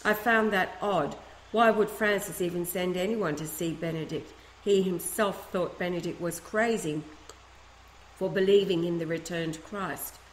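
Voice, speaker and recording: A woman reads a first-person letter aloud, her voice sitting a little low. She keeps a steady, earnest pace with the odd restart. The recording rings with room echo over a faint ambient hum. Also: unhurried. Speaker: reader of a letter.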